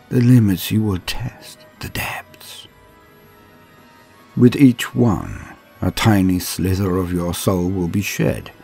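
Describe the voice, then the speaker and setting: A man voicing a sadistic male alien torturer in a slow, menacing first-person monologue, his voice gravelly, husky and often sinking to a whisper. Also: low-pitched, a little mellow, heavily acted. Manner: slow, menacing, savoring each threat.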